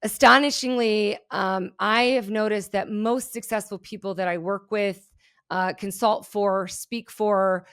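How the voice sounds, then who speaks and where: A woman's voice: mid-range voice, steady tone, a little slow, a bit bright, clear, resonant tone. A middle-aged woman from Germany, a native German speaker, telling her story with energy and candor as a podcast guest. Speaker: guest, keynote speaker and entrepreneur. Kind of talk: podcast interview.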